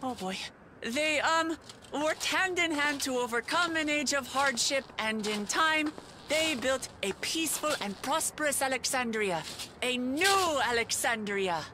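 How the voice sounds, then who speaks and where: An actress playing a gentle, sincere young woman adventurer in a fantasy game, speaking in a measured, consoling way. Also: high-pitched, lively intonation, noisy background. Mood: hesitant storytelling.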